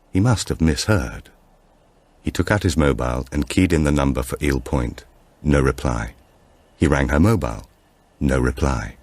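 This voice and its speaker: Man, very deep voice, scripted thriller narration, measured and suspenseful, lively intonation. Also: no room sound. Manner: measured, suspenseful storytelling with animated dialogue. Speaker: audiobook narrator.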